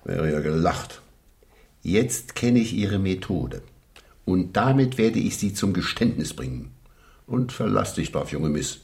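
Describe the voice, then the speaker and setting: Male investigator, radio crime drama, lowish voice, lively melody, shrewd, confident, deliberate, assertive. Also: vocal fry, full character voice.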